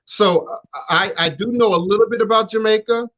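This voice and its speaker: A man's voice: high voice, brisk, a bit bright. A man hosting a radio show, talking reflectively in casual chat with frequent stammered restarts and "you know".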